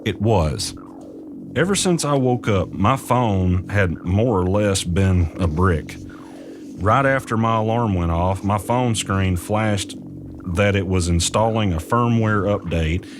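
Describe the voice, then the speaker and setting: An actor playing an uneasy man who recounts eerie events in measured, suspenseful first-person audio drama narration. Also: sits low, background noise. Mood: tense recounting. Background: music.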